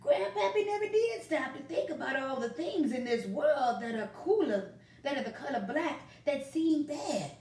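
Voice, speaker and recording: Old storybook grandpa, put on by a woman: folksy drawl, firm and loving, lively delivery, roomy distant recording. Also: sits high, normal speed, hardly any pauses, pitched above the performer's own voice. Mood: tender.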